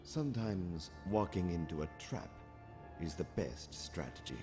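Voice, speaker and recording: An actor playing a calm, calculating alien officer in an audio drama, speaking formally and precisely. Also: male voice, low voice, measured pace. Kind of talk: audio comic drama acting. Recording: long reverb, music behind.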